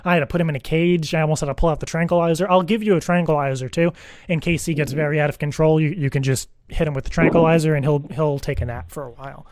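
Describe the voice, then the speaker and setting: A man playing an earnest, anxious divorced dad in an improvised prank phone call, rambling and repeating himself haltingly. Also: on the high side, steady tone, darkish tone, close to an everyday voice. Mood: anxious, insistent. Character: earnest, anxious divorced dad seeking a teddy-bear sitter. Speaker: prank caller.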